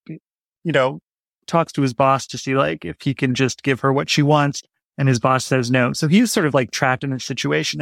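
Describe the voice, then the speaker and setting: A man's voice: medium pitch, creaky, dry recording, studio quality. A man speaking as an interview guest, explaining his creative work in a casual, reflective way. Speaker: interview guest, audio drama writer.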